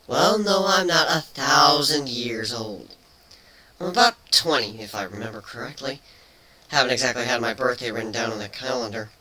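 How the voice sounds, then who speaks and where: A man voicing a playful, teasing female changeling pony in a cartoon fan fiction reading, matter-of-fact even with mock threats. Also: mid-range voice, moderate pace, crowd noise, lightly acted, poor audio. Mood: chatty, teasing.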